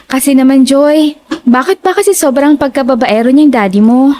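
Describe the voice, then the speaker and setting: A woman playing a chatty, blunt young best friend in an audio drama, teasing and sharp-tongued. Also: high-pitched, normal speed, slight background noise, lightly acted. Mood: breezy, caring.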